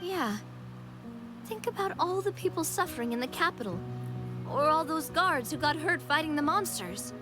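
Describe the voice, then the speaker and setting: A woman's voice: high voice, animated, normal speed, music in the background. An actress voicing a cheerful young woman who explains the rules of the game in a video game.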